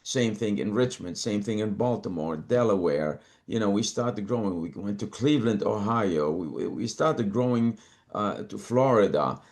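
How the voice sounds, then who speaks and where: Older male guest from Lebanon, low-pitched, measured, repeats words as he thinks. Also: animated, darkish tone. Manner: measured, repeats words while gathering thoughts. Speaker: guest, company co-founder. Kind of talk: interview conversation.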